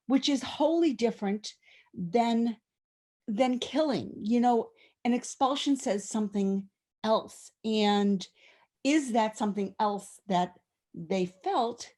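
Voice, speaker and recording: A woman's voice: a little high, dark tone. A woman taking part in a study class, speaking earnestly and piling up searching questions. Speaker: class participant. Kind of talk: asking questions in a discussion.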